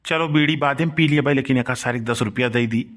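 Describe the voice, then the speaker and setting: A man's voice: mid-range voice, quick pace, fairly bright tone, natural voice. A man playing a middle-aged village uncle in a comic cartoon, grumbling and rustic, with an Awadhi accent. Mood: grumbling, resigned. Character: scolding village uncle, easily blackmailed, henpecked and wheedling.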